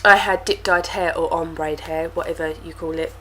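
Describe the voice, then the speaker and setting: A woman's voice: sits low, steady tone, normal speed, sharp tone, breathy edge. Woman, young, blocked nose, casual beauty review, repeats words. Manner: chatty, with lots of fillers and repeated words. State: stuffy nose from a cold. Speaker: beauty vlogger. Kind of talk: casual product review to camera.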